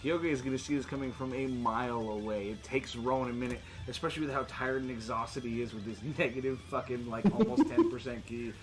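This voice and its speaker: A man's voice: mid-range voice, expressive, fast, hardly any pauses. A man running a tabletop role-playing game as game master, talking and narrating in an informal, sweary way. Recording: music playing behind the voice.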